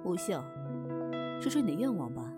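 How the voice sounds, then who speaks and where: A woman's voice: on the low side, very animated, moderate pace. A woman playing the mysterious, shrewd mistress of a spirit inn in a Chinese fantasy radio drama, teasing and commanding. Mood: calm, probing. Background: background music.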